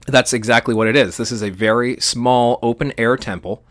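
A man's voice: medium pitch, steady tone, brisk, fairly bright tone, no room sound, studio quality. A man co-hosting a podcast, explaining history in an enthusiastic, conversational way. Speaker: podcast co-host.

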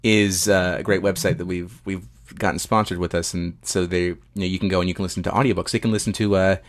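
Guy cohost w a lowish voice, casual and kinda halting, plays it straight. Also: fairly even intonation. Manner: hesitant, halting, polite straight man. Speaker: podcast co-host. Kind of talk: casual comedic chat.

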